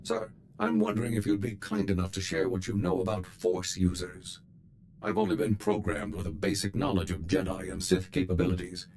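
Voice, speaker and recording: An eager, deferential military droid in a video game. The voice sits low and speaks in precise, formal, literal-minded phrases. Faint noise runs behind it. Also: male voice, expressive, a little fast, a little mellow. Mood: polite, earnest. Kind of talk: scripted video game dialogue.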